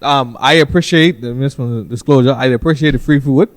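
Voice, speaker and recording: A man's voice: mid-range voice, a little fast. A man from the Bahamas co-hosting a casual podcast chat, loose and crude in his joking banter. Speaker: podcast co-host.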